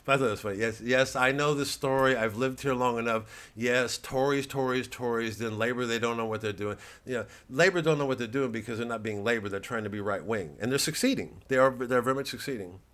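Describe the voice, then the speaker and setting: A man's voice: medium pitch, a little fast, hardly any pauses, a bit bright. Profane, sardonic man rambling. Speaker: comedian. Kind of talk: rambling stand-up monologue.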